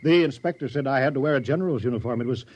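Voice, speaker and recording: An actor playing a fussy village mayor who talks in a fluttery, apologetic, stammering way in a 1950s radio drama. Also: male voice, mid-range voice, rapid-fire, natural voice. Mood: cheerful. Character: fussy, flustered village mayor turned general. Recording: dull old radio recording.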